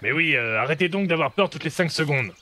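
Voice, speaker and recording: A man's voice: fairly high pitch, expressive, a little fast, bright, forceful tone. A man playing a sarcastic, curt pirate captain. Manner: dry, impatient, curt.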